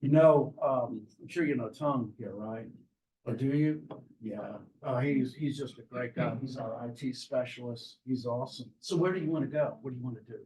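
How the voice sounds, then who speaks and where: A man's voice: mid-range voice, moderate pace, darkish tone, low-quality recording. Chatty, encouraging man teaching a class. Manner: chatty and encouraging, with frequent restarts. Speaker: marketing professor. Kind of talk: classroom introductions.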